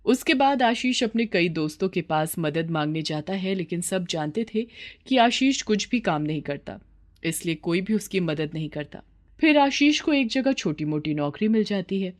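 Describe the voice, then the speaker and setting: Slightly low-voiced woman storyteller. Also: expressive, normal speed. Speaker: narrator. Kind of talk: scripted children's story narration.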